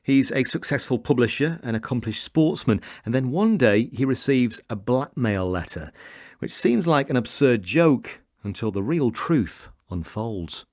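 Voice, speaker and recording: A man's voice: mid-range voice, animated, unhurried, darkish tone, no echo. A man with a British accent giving a scripted introduction as a radio announcer. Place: dry broadcast studio.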